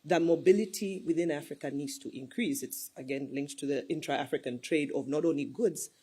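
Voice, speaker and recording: A woman's voice: low voice, a little fast, dark tone, husky. A woman speaking as a panelist in a discussion, earnest and persuasive, building her case with examples.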